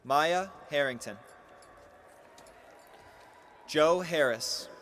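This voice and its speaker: A man with a fairly high voice announces names at a graduation ceremony. His delivery is formal and measured, each phrase set apart from the next. There is faint ambient noise from the live event around him. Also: fairly bright tone.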